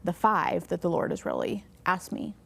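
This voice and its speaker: A woman hosting a faith podcast, asking questions and affirming her guest warmly with quick agreements. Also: lowish voice, wildly swooping pitch, vocal fry. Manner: affirming, encouraging, many quick yeahs. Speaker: podcast host.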